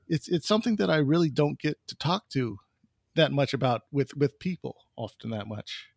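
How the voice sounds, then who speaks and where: A man hosts a podcast, rambling through his points with lots of fillers and repeated words. His voice is gravelly, and the recording is dry and close. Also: fairly high pitch, moderate pace, dry recording. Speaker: podcast host. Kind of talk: casual interview conversation.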